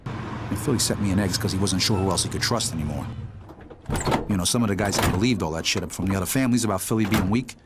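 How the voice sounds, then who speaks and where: Actor playing a low-voiced, bitter New mobster. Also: male voice, fast talker, heavy background noise, natural voice. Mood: bitter, wary. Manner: gruff street slang, cynical, swearing. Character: bitter, foul-mouthed American mobster recounting a betrayal. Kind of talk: video game cutscene narration.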